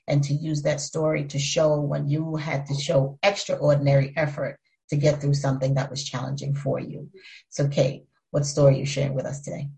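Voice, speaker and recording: A middle-aged woman hosts a podcast interview in a very low, gravelly voice. She is chatty and draws her guest out with open questions. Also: a little mellow, poor audio. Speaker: podcast host. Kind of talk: interview hosting.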